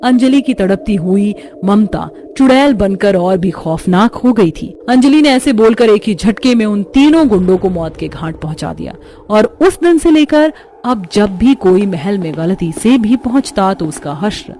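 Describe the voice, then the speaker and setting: Mellow female story narrator. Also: medium pitch, lively intonation, a little slow, a little hiss or hum. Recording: music under the voice.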